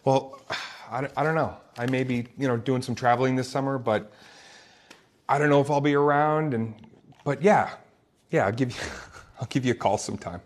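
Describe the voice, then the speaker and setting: A man's voice: mid-range voice, normal speed, lightly acted. A man playing a shy teenage boy who acts cool in a table read, speaking in a hesitant, understated way. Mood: feigned nonchalance. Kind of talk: unrehearsed table read of a film comedy.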